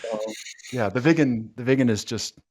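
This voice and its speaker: A man chatting casually as a podcast guest, excitable and swearing freely, his voice climbing high when he gets worked up. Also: moderate pace. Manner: swears freely, rambling car enthusiast. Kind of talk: casual chat.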